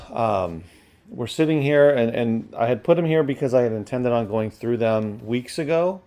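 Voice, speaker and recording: A man's voice: mid-range voice, lively intonation, normal speed, mellow. A man talking casually and off the cuff as a reseller, rambling along with trailing asides. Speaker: online reseller and bookstore owner. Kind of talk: casual chat.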